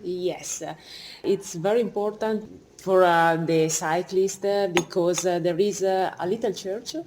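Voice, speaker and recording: A woman with an Italian accent answering interview questions as a museum director, speaking halting English and searching for words. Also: fairly low pitch, fairly even intonation, darkish tone. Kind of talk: informal interview. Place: museum cafe. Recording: faint background noise, museum cafe.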